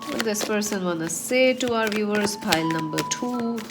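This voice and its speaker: A woman's voice: medium pitch, moderate pace, over loud music. A woman with a cold and a slightly nasal voice, giving a chatty tarot reading to her viewers with many fillers. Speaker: tarot reader.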